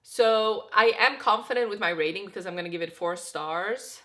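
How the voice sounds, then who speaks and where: A woman's voice: on the low side, a bit bright. A young woman chatting casually about a book she is reading, rambling with lots of "like", her voice a bit off because she is getting sick and tired. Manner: rambling, filler-heavy, frequent "like". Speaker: book vlogger.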